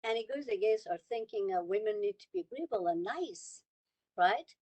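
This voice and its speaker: A woman's voice: medium pitch, expressive, moderate pace, a little mellow. A woman from Iran speaking on a panel over a video call, earnest and repeating words for emphasis. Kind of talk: panel discussion remarks.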